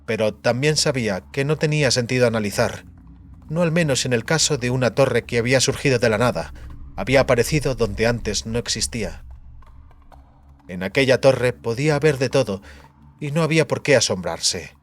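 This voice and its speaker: A man reading a fantasy audiobook in a measured, dramatic storytelling manner. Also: medium pitch. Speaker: audiobook narrator. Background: background music.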